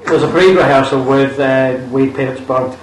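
A man's voice: medium pitch, normal speed, slight background noise. A young man co-hosting a podcast, bluntly and mockingly recapping a film's plot with plenty of swearing. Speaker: podcast co-host. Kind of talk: casual film review chat. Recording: roomy, distant mic.

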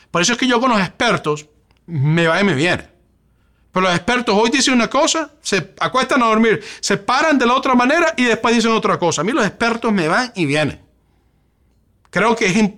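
A man with a Venezuelan Spanish accent preaches from the pulpit. His voice sits a bit high for a man, and he builds emphasis through repeated rhetorical questions. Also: sharp tone. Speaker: pastor. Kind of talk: sermon.

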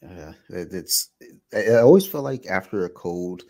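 A man with a very deep voice hosts an interview. He talks in a loose, easygoing way and leans on filler phrases as he goes. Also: normal speed. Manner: relaxed, conversational, lots of "like" and "you know". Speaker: podcast host, interviewer. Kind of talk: casual interview chat.

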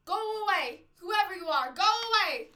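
A woman's voice: very high-pitched, animated, moderate pace, bright, forceful tone, heavily acted. A woman playing a jittery, tough-talking small-time crook in an old-time radio drama, brash and defiant at first, then frightened and pleading. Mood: scared, defiant.

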